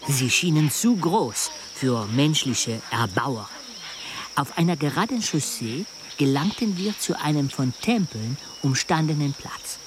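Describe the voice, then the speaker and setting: An actor playing a wry male travel companion who recounts an expedition in a radio drama with measured storytelling delivery. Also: fairly high pitch, expressive, noisy background. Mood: measured, recounting. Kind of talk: radio drama narration and dialogue.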